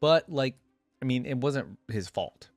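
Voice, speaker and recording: A man reading stories aloud and reacting casually as a video host, expressive and informal. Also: medium pitch, a little slow, no room sound, faint music behind. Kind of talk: reading lore aloud with casual off-the-cuff commentary.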